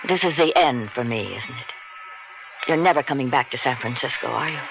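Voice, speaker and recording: A woman's voice: extremely low pitch, lively intonation, brisk, creaky, full character voice. An actress in a radio drama playing a clinging, heartbroken mistress pleading with her departing lover. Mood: hurt, pleading. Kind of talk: 1970s radio drama acting. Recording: dull radio recording over music.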